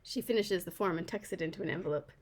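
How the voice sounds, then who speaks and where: A woman author reading her first-person children's novel aloud in an expressive storytelling style. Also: lowish voice, fast talker. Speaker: author reading own book.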